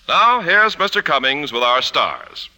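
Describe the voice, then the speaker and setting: Bright, punchy 1950s American radio announcer man. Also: a little high, very animated, unhurried, low-quality recording. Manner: emphatic, polished sales delivery. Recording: 1950s radio broadcast, music behind.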